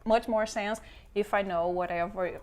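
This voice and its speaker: A woman's voice: mid-range voice, fairly even intonation, moderate pace, a little mellow. Brazilian woman, middle-aged, non-native English speaker with a Portuguese accent; chatty interview guest, restarts and repeats herself, faint hiss behind. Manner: chatty, restarts and repeats words, laughs often.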